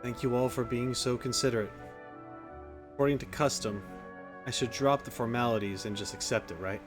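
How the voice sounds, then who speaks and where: A man's voice: medium pitch, fairly even intonation, brisk. A man giving casual, rambling video game commentary as a streamer. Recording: music playing behind the voice.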